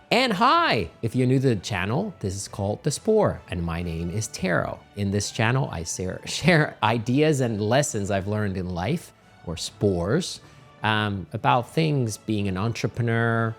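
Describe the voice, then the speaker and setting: Man giving chatty travel advice. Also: lowish voice. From Finland. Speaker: channel host. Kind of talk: casual talking-head travel advice.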